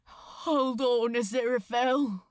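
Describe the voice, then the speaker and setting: A woman's voice: fairly high pitch, steady tone, slow pace, no room sound, clean studio sound. A woman voicing a desperate, protective male demon in a dramatic story reading, with urgent, moaning, jagged delivery. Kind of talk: dramatic audiobook character voice.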